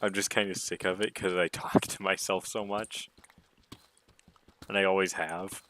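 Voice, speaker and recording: A man with a somewhat deep voice chatting casually to himself as he streams a game, rambling and musing. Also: level tone, normal speed, pushed and bright, slight background noise. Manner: rambling, self-musing talk. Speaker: gaming livestreamer. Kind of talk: casual solo gaming stream chat.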